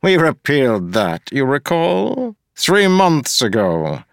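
A man voicing a smug, scheming male mayor who talks slowly and pompously, taunting his rival. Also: medium pitch, wildly swooping pitch, vocal fry, no echo, pitched above the performer's own voice, heavily acted.

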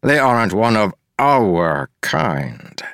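A man voicing a smug, scheming male mayor who talks slowly and pompously, taunting his rival. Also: lowish voice, big pitch swings, fairly bright tone, dry recording, clean studio sound, voice pushed up, heavily acted.

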